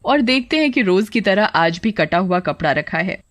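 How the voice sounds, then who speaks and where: A woman's voice: medium pitch, sing-song, normal speed, no room sound. A woman giving scripted narration for a cartoon story as the storyteller.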